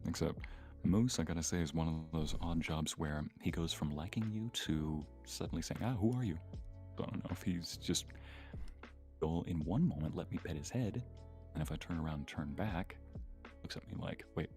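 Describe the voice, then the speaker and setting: A man with a low, gravelly voice co-hosts a relaxed livestream chat. He is playful and likes to act out what he describes, over faint background music. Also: normal speed. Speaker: guest narrator and co-host on an ASMR livestream. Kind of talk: casual ASMR livestream chat.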